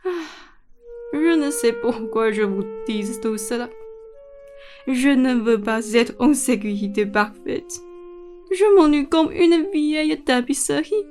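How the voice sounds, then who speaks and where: Capricious rich old dowager played by a woman, high and slow-paced, imperious, theatrical radio comedy. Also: lively intonation, music in the background. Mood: bored, exasperated. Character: bossy, capricious, bored rich old lady. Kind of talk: stage-comedy radio play acting in French.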